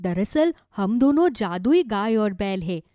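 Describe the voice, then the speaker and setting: A woman plays a benevolent magical cow from a cartoon fable. The voice is soft-edged and mellow with a dry, close sound, offering wise reassurance in a solemn way. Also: mid-range voice, sing-song, a little slow, no room sound. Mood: reassuring. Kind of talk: animated moral folk tale dialogue.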